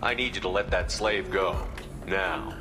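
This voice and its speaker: Low-pitched, steady male commander. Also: measured pace, in a large hall. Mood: firm, decisive. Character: steady, commanding human military commander, supportive and decisive. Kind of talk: video game voice acting. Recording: game audio with music and rumble behind.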